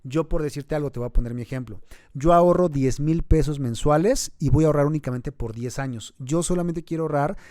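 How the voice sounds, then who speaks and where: Mexican man, 30s, mellow low-bright timbre, dry close sound; earnest financial advisor type. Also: brisk, dry recording. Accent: Mexican Spanish. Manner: earnest, advising, builds to emphatic points. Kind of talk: explanatory interview talk.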